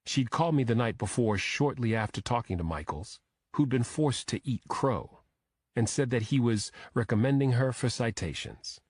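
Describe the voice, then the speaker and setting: A man's voice: mid-range voice, animated, moderate pace, husky, dry recording, clean studio sound. A man reading a thriller audiobook as a scripted first-person narration.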